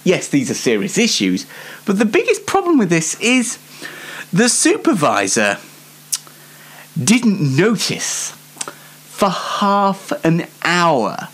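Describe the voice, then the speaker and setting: A man's voice: high-pitched, huge pitch swings, slow pace, pauses often, fairly bright tone. A British man hosting an unscripted comic news show in a rambling, self-mocking way. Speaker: host of a comedy news show.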